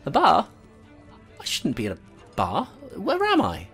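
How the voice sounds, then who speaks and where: Jumpy rat cop, swings up and down in pitch, soft music under. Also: male voice, wildly swooping pitch, breathy edge, heavily acted. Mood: nervous. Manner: nervous, rambling, halting. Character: anxious male rat constable, nervous and chatty. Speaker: constable. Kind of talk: audio drama acting.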